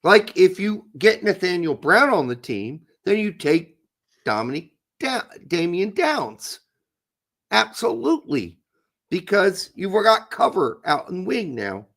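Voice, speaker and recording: A man co-hosting a casual podcast chat, hoarse with his voice going, blunt and opinionated with some swearing. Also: high-pitched, big pitch swings, takes it slowly, a bit bright. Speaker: co-host.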